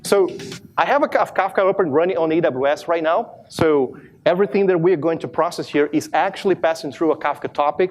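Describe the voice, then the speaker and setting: A man from Brazil in his thirties with a strong Brazilian accent, giving a lively live demo talk at a conference, with many restarts and self-deprecating asides. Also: a little high. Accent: Brazilian Portuguese. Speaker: conference speaker. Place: large conference hall with audience.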